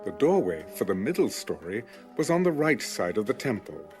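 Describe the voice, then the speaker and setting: A man's voice: fairly low pitch, very animated, moderate pace, a little mellow. A man giving a scripted narration of scripture as an audio Bible narrator. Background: music.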